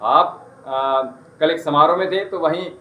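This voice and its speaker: A man's voice: on the high side, measured pace, echoey room, narrow, tinny audio. A man teaching yoga and ayurveda on a live stream, earnestly urging listeners with repeated polite requests, with a western Uttar Pradesh Hindi accent. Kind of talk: live-streamed spiritual health talk.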